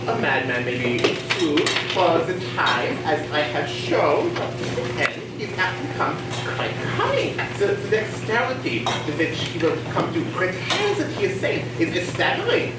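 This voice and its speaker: Stage play role: a woman plays a sly, excitable mad professor, animated pitch, recorded far off in a reverberant hall. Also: lightly acted. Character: eccentric asylum professor, excitable and slyly mad. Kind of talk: amateur stage acting in a one-act play. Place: school theater stage. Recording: echoey stage from far off, loud noise.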